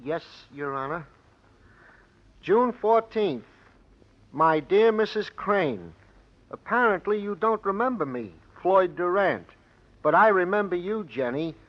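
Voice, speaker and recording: An actor playing a deferential, hesitant court clerk in a 1940s radio drama, halting and deliberate. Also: male voice, high-pitched, lively intonation. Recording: muffled old radio broadcast.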